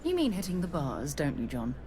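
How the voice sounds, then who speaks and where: An actress playing a dry, sardonic spacefaring companion, wry and understated, in video game dialogue. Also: female voice, low voice, huge pitch swings, fairly quick, mellow.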